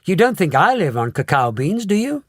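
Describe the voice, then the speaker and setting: A man voicing an eccentric, calm factory owner who speaks matter-of-factly. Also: high-pitched, moderate pace, dry recording, clean studio sound, voice pushed up. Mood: breezy. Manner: calm, breezy, matter-of-fact. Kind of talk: storybook read-aloud.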